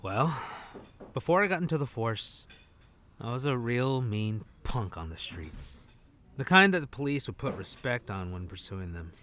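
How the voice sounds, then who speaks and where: A man's voice: mid-range voice, sing-song, moderate pace, fairly bright tone, a little hiss or hum. An actor playing a brash, wisecracking male secret agent with a street past, dramatic and slangy in an audio drama. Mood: guarded, confiding.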